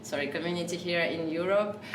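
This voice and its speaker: A woman hosts a live talk in a café, her voice fairly low and caught from across an echoey room. English is not her first language, and she talks haltingly, with plenty of fillers and false starts. A steady hum of noise runs under her. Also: far from the mic. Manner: hesitant, many fillers and restarts. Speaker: café host.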